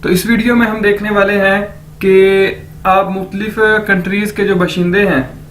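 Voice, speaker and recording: A man from Pakistan, teaching a slow language lesson and repeating each word as a drill. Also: high-pitched, flat delivery, fairly bright tone, a little hiss or hum.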